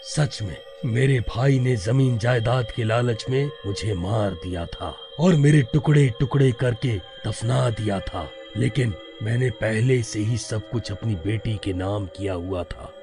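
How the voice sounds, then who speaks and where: A man playing the pleading, distressed ghost of a murdered father in an animated story, dramatic and halting. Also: fairly low pitch, music in the background. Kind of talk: Hindi animated story acting.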